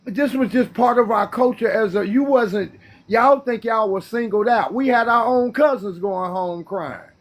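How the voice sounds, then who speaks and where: A man in his fifties arguing forcefully, lecturing the others in a casual debate. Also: high voice, a little slow. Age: 50s.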